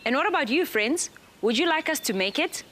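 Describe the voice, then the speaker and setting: A woman's voice: sits high, lively intonation, fairly quick, heavy background noise. Cheerful woman presenting a kids' craft show. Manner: cheerful, patient step-by-step instructions. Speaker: children's TV presenter. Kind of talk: craft demonstration for children. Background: music.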